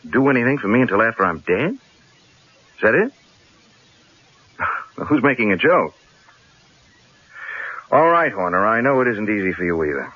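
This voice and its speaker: An actor playing a wry, worried newspaper columnist in a radio drama, with a dry, measured manner. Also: male voice, lowish voice, husky. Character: wry, worried male newspaper columnist facing a death threat. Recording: muffled old radio drama recording.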